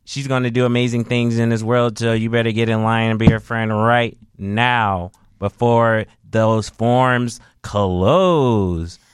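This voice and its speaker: A man hosting a casual radio show, talking in a relaxed, rambling way with lots of fillers. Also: medium pitch, takes it slowly, hardly any pauses, clear, resonant tone, dry recording, studio quality. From Haitian descent.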